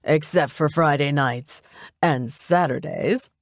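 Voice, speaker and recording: A man's voice: fairly high pitch, unhurried, no room sound, studio quality, natural voice. A woman narrator voicing a playful, flamboyant man with a theatrical, lilting drawl in an audiobook. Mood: playful, cheerful.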